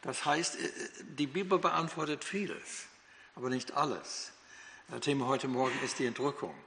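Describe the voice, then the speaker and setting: A man's voice: medium pitch, normal speed, gravelly, fairly bright tone. An older man from the United States with an American accent, a native English speaker teaching the Bible in German, hesitant with many fillers and restarts. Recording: long hall reverb.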